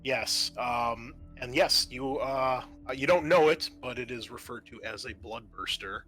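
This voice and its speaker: A man runs a horror tabletop game as game master. He narrates slowly in a bright, forceful voice. Music plays underneath him. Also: medium pitch.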